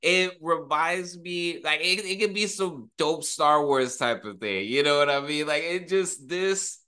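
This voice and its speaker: Slow-paced, enthusiastic man reacting casually. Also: on the high side, bright, forceful tone, clear, resonant tone. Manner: enthusiastic, awed, slangy. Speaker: reaction video host, rapper. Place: small home room.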